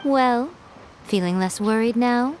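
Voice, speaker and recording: A woman's voice: on the high side, takes it slowly, a little mellow, a little hiss or hum. A woman playing a sly, self-assured and playful female mastermind in a video game. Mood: teasing. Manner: teasing, assured. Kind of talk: scripted game dialogue.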